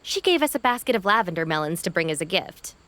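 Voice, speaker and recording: A woman's voice: fairly low pitch, very animated, fast, fairly bright tone. A woman playing a capable young gang deputy in a game, dry and matter-of-fact. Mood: dry, wry. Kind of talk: animated game dialogue.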